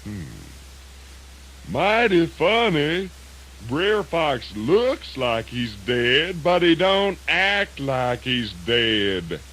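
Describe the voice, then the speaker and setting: An actor playing a sly, folksy trickster rabbit in a children's radio drama, with a musing Southern American drawl. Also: male voice, a little high, very animated, fairly bright tone, exaggerated voice, low-quality recording. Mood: mock mournful. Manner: slow drawl, musing aloud, exaggerated whoops. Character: sly, folksy male trickster rabbit, mock-mournful and gleeful. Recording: hissy 1980s radio drama recording.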